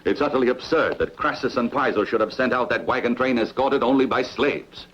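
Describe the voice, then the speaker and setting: A man's voice: mid-range voice, brisk, fairly bright tone, natural voice. A man playing a commanding, suspicious Roman general with a formal, authoritative manner in a dubbed epic film. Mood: indignant. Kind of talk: dubbed sword-and-sandal film dialogue. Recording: muffled lo-fi film audio, faint noise.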